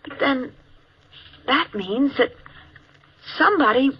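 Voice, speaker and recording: A woman's voice: high-pitched, lively intonation, takes it slowly, breathy edge, heavily acted. An actress playing an anxious young woman in danger, earnest and dramatic, in a 1950s radio play. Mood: distressed. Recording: muffled old radio recording.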